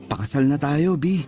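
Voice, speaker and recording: A man's voice: fairly high pitch, a little mellow, exaggerated voice. A man acting as a devoted, earnest boyfriend in a Tagalog radio drama.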